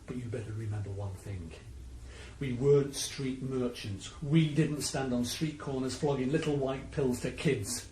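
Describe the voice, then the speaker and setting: A smug, coolly menacing drug dealer, played by a man in an old radio drama. He sneers his words with calm threat. The room echoes, with a faint hiss behind. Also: medium pitch, moderate pace, close to an everyday voice. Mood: boastful. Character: smug male cocaine dealer, menacing and cool. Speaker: villain in radio play. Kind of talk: 1980s radio drama acting.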